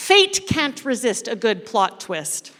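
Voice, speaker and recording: A woman giving a playful, dramatic live narration of a story, pausing deliberately as she leads an interactive reading. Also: fairly high pitch, sing-song, fairly bright tone. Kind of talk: theatrical live scripted narration of a parable. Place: large indoor church space before an audience. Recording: echoey hall with long reverb.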